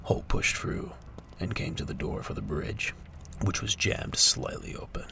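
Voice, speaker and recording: Male narrator for military audio drama. Bottomless low pitch, thick vocal fry, scripted dramatic storytelling. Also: wildly swooping pitch, measured pace, noisy background.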